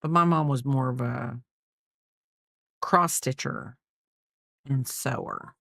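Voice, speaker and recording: A woman's voice: very deep voice, takes it slowly, gravelly, fairly bright tone, no room sound, studio quality. A middle-aged woman chatting casually as a crochet host, in a rambling way, trailing off and repeating herself. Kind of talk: casual chat while crocheting, counting stitches aloud.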